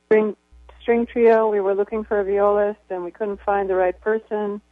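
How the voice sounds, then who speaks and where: Woman with a low voice on a phone line. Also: little pitch movement, normal speed, no echo. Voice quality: low speaking voice. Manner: thoughtful, hesitant with many fillers. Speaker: violist, radio guest. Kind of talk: casual interview chat. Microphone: phone call.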